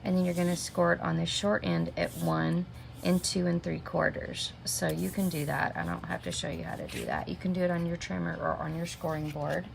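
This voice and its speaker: Gravelly low-voiced woman, chatty crafter. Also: expressive, fairly quick. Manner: chatty, step-by-step, talks to viewers by name. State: sniffling with a minor cold. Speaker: stamping craft demonstrator. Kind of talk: live craft tutorial. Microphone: camera mic at desk. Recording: noticeable background noise.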